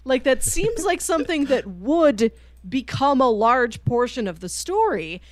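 A woman's voice: sits high, sing-song, unhurried, no echo. A woman co-hosting a casual book discussion, giving her opinions in a reflective, conversational way. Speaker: podcast co-host.

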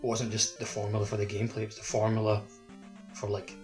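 A man's voice: lowish voice, monotone, quick pace, a little mellow, faint music behind. A man co-hosting a casual podcast chat, using a loose, filler-heavy conversational phrasing. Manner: peppers speech with "like".

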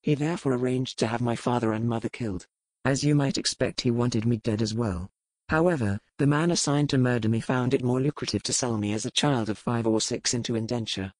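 An actor playing a cold pirate lord in a romance audiobook, speaking in a controlled, sardonic, matter-of-fact way. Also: male voice, medium pitch, brisk, a little mellow, dry recording, close to an everyday voice, poor audio. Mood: detached. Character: cold, commanding pirate lord, a man with a buried past. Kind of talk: romance audiobook character dialogue.

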